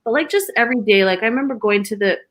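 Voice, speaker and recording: A woman's voice: on the high side, very fast, fairly bright tone. A woman in her forties, a former poker dealer, talking reflectively in a casual podcast chat with many fillers.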